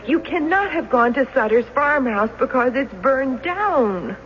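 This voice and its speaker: A woman's voice: high voice, expressive, unhurried. An actress in a 1970s radio drama playing an anxious, skeptical woman who argues emphatically for reason. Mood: skeptical, insistent. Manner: emphatic, insistent. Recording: dull, noisy 1970s radio recording.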